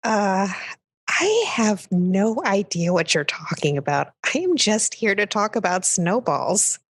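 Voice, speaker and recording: A woman's voice: mid-range voice, big pitch swings, slow, full character voice. A woman playing an earnest, exasperated food expert, a guest in a radio comedy sketch, speaking casually with hesitant stammers. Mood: placating.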